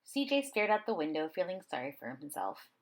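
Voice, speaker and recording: A woman reading a children's story aloud in an expressive narrating style. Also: lowish voice, brisk. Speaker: storybook reader.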